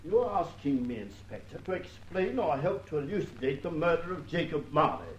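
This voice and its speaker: A man's voice: fairly high pitch, lively intonation, moderate pace, roomy sound, lo-fi sound, lightly acted. An actor playing a pompous, defensive, shady businessman in an old-time radio serial with faint noise. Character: blustering, indignant, shady wealthy businessman.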